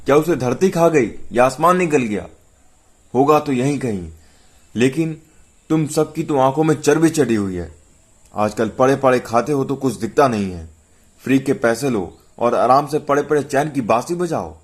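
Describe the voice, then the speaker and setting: A man's voice: mid-range voice, expressive, moderate pace, voice pushed up, lightly acted. A man voicing an angry, domineering wealthy businessman father who scolds and blusters in indignation, in a narrated story. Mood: furious scolding.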